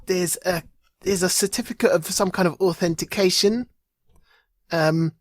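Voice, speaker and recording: A man's voice: sits high, normal speed, no room sound, studio quality. A man co-hosting a podcast, talking informally in casual chat and commentary. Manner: informal, conversational. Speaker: podcast co-host.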